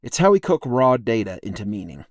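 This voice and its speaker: A man's voice: medium pitch, big pitch swings, dry recording. American man narrating, dry close recording. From United States, upstate New York and Texas. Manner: measured, reflective, wry. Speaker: podcast writer and narrator. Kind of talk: scripted personal essay narration.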